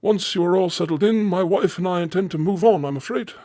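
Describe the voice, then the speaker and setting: A man's voice: high-pitched, brisk, warm tone, no room sound, studio quality, natural voice. A man playing a deferential, nervous servant of an English estate, formal and hesitant, in a radio drama. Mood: uneasy, apologetic.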